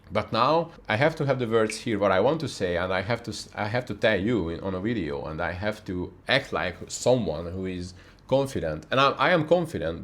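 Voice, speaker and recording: A young man speaking English as a second language, talking earnestly to camera in a home studio, often restarting his sentences. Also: low voice. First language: not English. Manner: earnest, frequent restarts, non-native phrasing. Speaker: YouTube creator. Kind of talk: unscripted talking-head vlog. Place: dark room, home studio with softbox. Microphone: camera mic facing the speaker.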